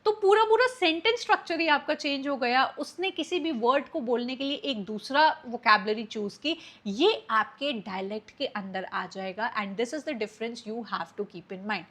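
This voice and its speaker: An Indian-accented woman teaching an exam-prep class, explaining each term step by step in a mix of Hindi and English. Her voice sits a little high and sounds bright and crisp. She speaks at a slow, deliberate pace.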